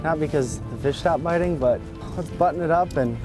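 A relaxed man in his thirties talks through a catch on an open boat out at sea, laughing as he reels. His voice sits a little high and is clipped to a lapel mic. Background music runs underneath. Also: fairly quick. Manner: easygoing, laughs often while reeling. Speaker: fishing guide and guest. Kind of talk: casual on-boat fishing chat.

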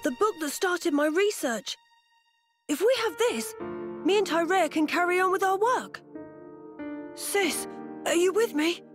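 A woman playing an earnest, gentle young boy researcher in a video game, polite and eager. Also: extremely high pitch, lively intonation, unhurried, long pauses, music in the background. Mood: encouraging.

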